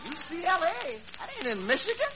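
An actor playing a blunt, needling sidekick who heckles in short retorts, in 1940s radio comedy style. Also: male voice, very high voice, big pitch swings, a little fast. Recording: muffled, very noisy old radio broadcast.

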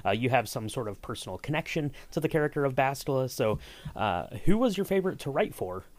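A man's voice: mid-range voice, very animated, quick pace. A man hosting a conversational podcast interview, asking wordy, roundabout questions. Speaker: podcast host interviewing a writer.

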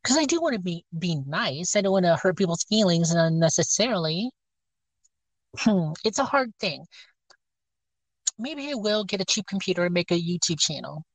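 A woman's voice: fairly low pitch, animated, normal speed, no room sound. A woman with a scratchy voice chatting casually, rambling and hesitant with many fillers and restarts. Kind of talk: casual chat. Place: outdoors, near her car.

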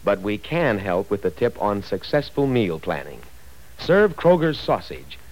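A man's voice: fairly low pitch, sing-song, measured pace, darkish tone, poor audio. A man reading a radio commercial in a cheerful, persuasive 1940s style. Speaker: commercial announcer.

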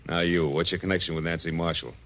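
A man's voice: low voice, level tone, fast, a bit bright. An American man playing a hard-boiled, sardonic private detective in a 1940s radio noir drama, with terse, wisecracking delivery. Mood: sardonic, pressing. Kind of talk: 1940s radio noir acting and first-person narration. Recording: muffled vintage radio recording with music.

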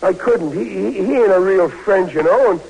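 An actor playing an anxious, heartsick young American soldier in an old radio drama, stammering, deferential and folksy, on a noisy old recording. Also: male voice, high-pitched, moderate pace, a little mellow, breathy edge. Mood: bashful, troubled.

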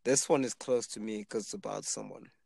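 Flat-voiced young man from Zimbabwe. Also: fairly low pitch, brisk, creaky, slightly rough, dry recording. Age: 20s. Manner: relaxed, rambling with many fillers. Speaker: aspiring rapper. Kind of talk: casual chat.

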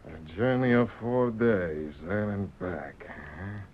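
An actor playing a cunning, confident outlaw leader who plots smugly and deliberately in a radio western. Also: male voice, fairly low pitch, expressive, breathy edge, exaggerated voice. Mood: smug, scheming. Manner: deliberate, smug, scheming. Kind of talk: old-time radio western acting. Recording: muffled old radio broadcast.

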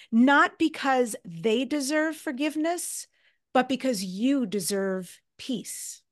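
An American woman speaking in a reflective, candid, conversational way as a podcast host interviewing a guest. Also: a little high.

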